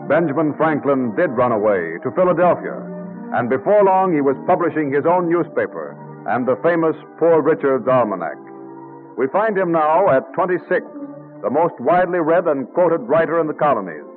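A man's voice: on the high side, very animated, moderate pace. A man narrating a historical radio play in an earnest, scripted storytelling style. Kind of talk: old-time radio drama scripted narration. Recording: muffled old radio broadcast, music behind.

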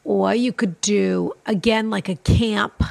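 A woman's voice: mid-range voice, steady tone, takes it slowly, vocal fry, a little mellow, dry recording. A woman co-hosting a chat show, giving enthusiastic, emphatic advice.

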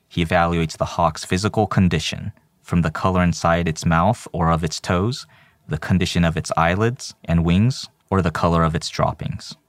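A man reading scripted documentary narration as a storyteller, in a measured manner. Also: sits low, dry recording. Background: soft background music.

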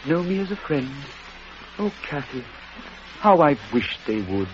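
A sweetly awkward British schoolmaster in vintage radio drama. His high voice swings up and down as he stammers and hesitates. The recording is dull and old, with music behind. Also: sing-song, measured pace, slight background noise. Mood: gentle, wistful. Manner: hesitant, stammering, formal and polite.

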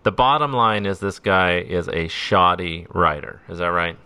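A man's voice: low voice, moderate pace, bright, forceful tone, clear, resonant tone, dry recording. A man co-hosting who reacts and asks joking, casual questions. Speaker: podcast co-host. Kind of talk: casual chat.

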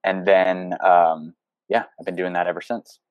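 A man's voice: sits low, level tone, moderate pace, no echo, highs cut off. A young man, a photographer and coach, talking casually as an interview guest over a video call, rambling with many fillers and restarts. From United States.

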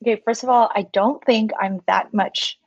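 A woman's voice: medium pitch, steady tone, normal speed, slightly rough, dry recording, lo-fi sound. Friendly thirties woman guest from Singapore. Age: 30s. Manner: friendly, uses many fillers like "like" and "um". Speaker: stationery shop owner, guest. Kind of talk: casual interview chat.

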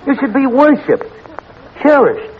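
An actor playing a brash, lovestruck New tavern manager who mangles fancy words, in 1940s radio comedy style. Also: male voice, very high voice, sing-song, a little slow, exaggerated voice. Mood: smitten, flowery. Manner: pompous malapropisms, mock-poetic wooing. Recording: noisy, muffled old radio broadcast recording.

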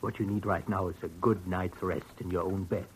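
An actor playing a kindly, fatherly doctor in a vintage radio drama, gentle and reassuring. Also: low-pitched, steady tone, a little fast, natural voice. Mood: soothing, grave. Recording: old radio recording, faint noise.

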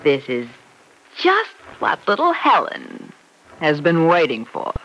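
An actress playing a hard-boiled, scheming moneylender in an old radio soap, curt, tough and slangy. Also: female voice, low-pitched, huge pitch swings, slow pace, fairly bright tone, lo-fi sound, heavily acted. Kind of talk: 1940s radio soap opera acting. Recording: faintly noisy vintage radio drama recording.

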